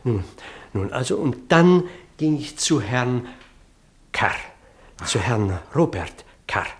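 A man's voice: medium pitch, sing-song, slow. An actor playing an earnest, long-winded parish clergyman in a German radio play, pedantic and hesitant, easily flustered as he digresses. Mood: pedantic, disapproving.

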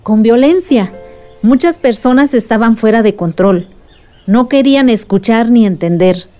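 An actress in a radio drama playing an earnest, patient adult who explains village struggles to a child. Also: female voice, on the high side, lively intonation, unhurried, dark tone, soft background music. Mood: patient storytelling.

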